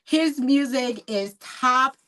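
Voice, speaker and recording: A woman's voice: high voice, slow pace, bright, forceful tone. A woman hosting a podcast, chatting casually and enthusiastically, with lots of "like". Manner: enthusiastic, filler "like". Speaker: podcast host. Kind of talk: casual interview chat.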